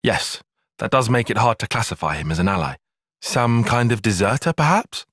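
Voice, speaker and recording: A young male tactician from a video game, earnest yet guarded. His phrasing is precise and analytical, with a sarcastic bite and a very mobile pitch. The voice has a slightly breathy, husky grain and sits close and dry, without room sound. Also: sing-song, fairly bright tone, no echo. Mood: measured, analytical. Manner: precise, articulate, dryly sarcastic, measured. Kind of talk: video game cutscene voice acting.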